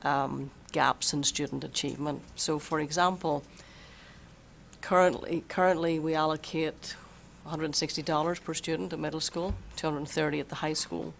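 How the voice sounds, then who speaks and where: A superintendent who is losing their voice a bit, explaining a budget at a school board meeting in a measured way and repeating words while thinking. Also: mid-range voice, fairly even intonation, gravelly, a little hiss or hum. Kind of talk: budget presentation at a public meeting.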